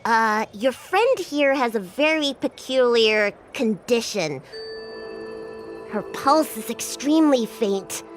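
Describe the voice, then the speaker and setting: A young girl healer from a video game, with a high, very animated voice. She is earnest and easily flustered, carrying herself like a proud little professional. Music plays behind her. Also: slow.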